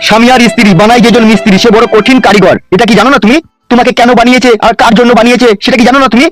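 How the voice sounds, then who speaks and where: A man's voice: very high-pitched, flat delivery, very fast. A man playing a brash politician in a comedy cartoon who coaxes his new bride with wheedling, boastful, crude talk. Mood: indignant, boastful. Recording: music behind.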